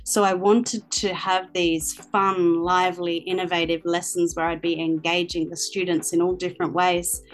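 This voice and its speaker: A woman's voice: fairly low pitch, level tone, moderate pace, few pauses, low-quality recording. A woman, a guest in an interview, telling stories about her teaching life with an easy, chatty manner. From Australia. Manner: chatty, storytelling with many ums. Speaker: guest, language teacher and author. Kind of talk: interview answers.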